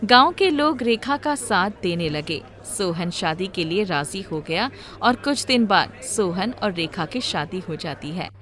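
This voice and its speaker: A woman's voice: mid-range voice, animated, unhurried, hardly any pauses, fairly bright tone. A woman reading scripted narration for a moral story in a storyteller's manner.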